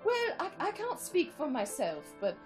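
A woman's voice: sits high, wildly swooping pitch, normal speed, faint music behind, pitched above the performer's own voice. A woman voicing a smug, sarcastic male secret agent, with a put-on British accent and a wisecracking manner.

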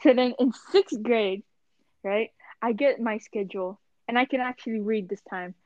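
A woman's voice: fairly high pitch, normal speed, a little mellow, no echo, lo-fi sound. Chatty young woman telling stories. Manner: rambling, full of "like", acts out voices in anecdotes. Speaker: podcast co-host. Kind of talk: casual storytelling chat.

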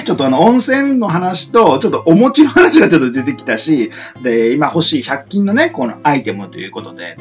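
A man hosts a laid-back radio chat. His pitch moves around in a lively, animated way, and he pads his talk with fillers and polite turns of phrase. Soft guitar music plays along with him. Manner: chatty, frequent sentence-ending fillers, polite sign-offs. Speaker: radio show host. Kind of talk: casual amateur radio chat.

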